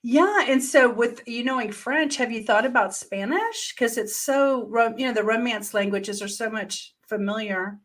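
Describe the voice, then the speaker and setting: Enthusiastic woman host, higher voice. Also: animated. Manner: enthusiastic, complimentary, halting questions. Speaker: podcast host. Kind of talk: casual interview chat.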